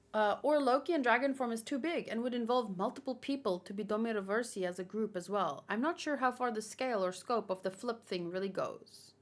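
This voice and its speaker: A woman's voice: a little high, fairly quick. A woman giving informal, opinionated commentary as she hosts a casual live read-along and talks with chat. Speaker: manga read-along host.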